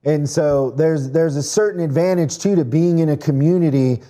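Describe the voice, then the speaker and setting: A man's voice: on the high side, moderate pace, mellow. A man who grew up in Mexico, a podcast guest talking business in an earnest, casual way and often restarting his words. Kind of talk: casual interview talk.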